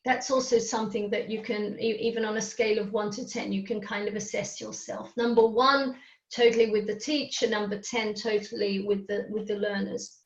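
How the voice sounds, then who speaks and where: A woman's voice: medium pitch, level tone, brisk, echoey room, lo-fi sound. A woman whose first language is Hungarian, presenting a live webinar on coaching and checking in often with her listeners. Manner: explanatory, often checks in with "okay?".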